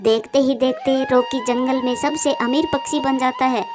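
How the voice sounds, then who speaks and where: High-pitched woman narrating a story. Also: steady tone, normal speed. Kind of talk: scripted cartoon story narration. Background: clear background music.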